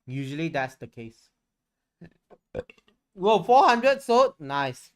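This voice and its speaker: A man's voice: a little high, big pitch swings, slow. A man chatting casually on a livestream, rambling and candid, swearing freely as he goes. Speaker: streamer and VTuber.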